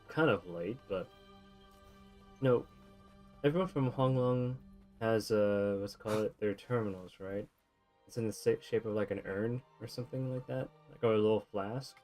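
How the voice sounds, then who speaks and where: A man's voice: fairly low pitch, normal speed, long pauses, darkish tone. A man giving casual video game commentary, thinking aloud in a rambling way. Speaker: streamer playing a video game. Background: video game music.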